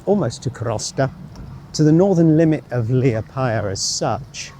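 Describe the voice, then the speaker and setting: A man's voice: mid-range voice, expressive, normal speed, dark tone. A man giving casual travel commentary as he walks, thinking aloud in a rambling, wry, self-deprecating way. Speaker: travel vlogger. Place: outdoors, walking by a road and canal. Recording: outdoor street noise with passing vehicles. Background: street ambience, vehicles.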